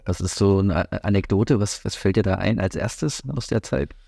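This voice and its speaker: Gravelly, husky-voiced male interviewer. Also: lowish voice, moderate pace, dark tone, dry recording, studio quality. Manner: prompting, finishes the guest's sentences. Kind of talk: conversational interview questions.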